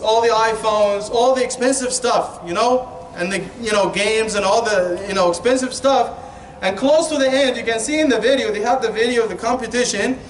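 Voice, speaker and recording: A man with an Egyptian Arabic accent giving an informal, humorous conversational sermon as an Islamic preacher, often asking rhetorical questions and saying "you know". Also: very high voice. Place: indoor Islamic centre hall. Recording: echoey room, distant mic, faint noise.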